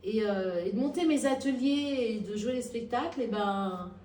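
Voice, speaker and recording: A woman being interviewed, with frequent restarts and hesitations that give way to emphatic, lively stretches. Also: on the high side, normal speed. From Nigeria and Madagascar. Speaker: actress and workshop founder, interviewee. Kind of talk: spontaneous interview talk. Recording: echoey room, heard from a distance.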